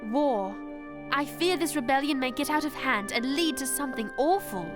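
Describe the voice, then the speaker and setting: An earnest, indignant teenage girl from an animated series. Her high voice swings expressively and keeps a proper, prim manner, with loud music underneath. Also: normal speed. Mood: anxious.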